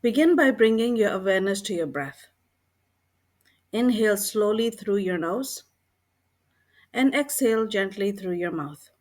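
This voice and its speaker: A woman narrating a scripted guided meditation in a calm, gentle way, with unhurried short phrases and pauses between them. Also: medium pitch.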